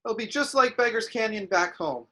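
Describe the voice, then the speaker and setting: Man acting a bright-voiced young starfighter pilot. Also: high voice, animated, moderate pace. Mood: confident. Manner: urgent commands. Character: brave young male starfighter pilot, determined. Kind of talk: dramatic table read.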